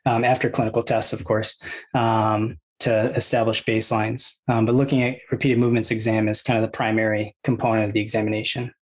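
A man in his thirties, a physical therapist, calmly explaining his clinical approach with many ums and you-knows. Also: lowish voice. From United States. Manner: measured, filler-heavy, explains step by step.

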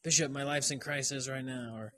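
A man's voice: medium pitch, steady tone, a little fast, fairly bright tone. A man hosting a casual podcast from his college classroom, joking and laughing often as he questions his students. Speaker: podcast host and college instructor.

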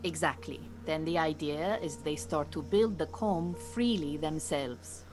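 A woman playing a calm, capable Iranian beekeeping teacher in a naturalistic audio drama, giving measured, reassuring instruction. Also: sits low, soft background music. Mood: calm, instructing. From Iran.

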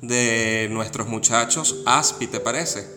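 A man's voice: medium pitch, slow pace, over music. A man hosting a talk show, friendly and steering the conversation. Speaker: host.

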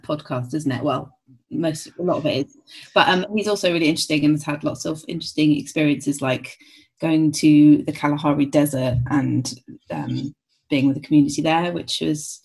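A woman's voice: low-pitched, normal speed, darkish tone, breathy edge. Playful, joking female co-host. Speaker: podcast co-host. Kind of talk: casual podcast chat.

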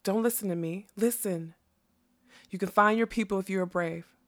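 A woman's voice: mid-range voice, moderate pace, lightly acted. Casting: female reader as flighty male butterfly for a storybook, theatrical, rambling, songful, whimsical nonsense-spouter. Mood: urgent. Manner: theatrical, rambling, bursting into song. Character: whimsical scatterbrained male butterfly who sings and quotes nonsense. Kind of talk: acted audiobook storytelling.

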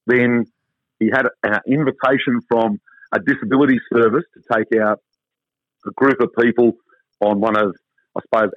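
A hoarse Australian man who runs a travel company, chatting in an interview in an upbeat, rambling way with lots of fillers. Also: mid-range voice, no room sound. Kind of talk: casual interview chat. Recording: tinny phone line.

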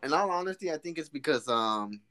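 A young man in his twenties talks through a loose podcast chat with friends, tumbling over his own words and getting excited. His voice is bright and sits a little high. He restarts sentences often as he goes. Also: brisk. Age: 20s. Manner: rambling, restarts a lot, excitable. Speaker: podcast co-host. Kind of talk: casual chat.

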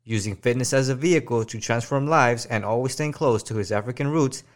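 A man hosting a podcast, reading a formal guest introduction and asking questions in a measured way. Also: mid-range voice. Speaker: podcast host. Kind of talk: read introduction and interview questions.

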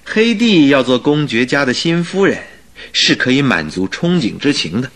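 A man's voice: mid-range voice, big pitch swings, normal speed, a bit bright. An actor playing a curious, good-natured newlywed male doctor in a radio drama, with a conversational, inquisitive manner. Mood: amused, musing.

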